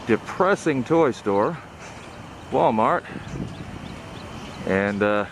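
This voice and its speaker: Man, YouTube host, casual vlog commentary, varied intonation, clearly noisy surroundings. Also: medium pitch. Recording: loud background noise.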